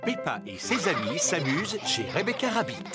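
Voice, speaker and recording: A woman narrating a children's cartoon in a calm, even storytelling manner. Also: fairly low pitch, normal speed, loud music behind the voice. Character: gentle female storybook narrator.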